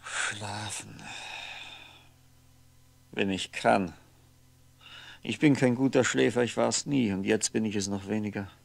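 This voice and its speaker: A man's voice: lowish voice, fairly even intonation, creaky, dry recording, heavily acted. An actor playing a lonely, weary ageing man musing wryly to himself in a 1960s German radio play. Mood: tired, reflective. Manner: brooding, halting, musing to himself. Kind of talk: 1960s German radio drama monologue, introspective.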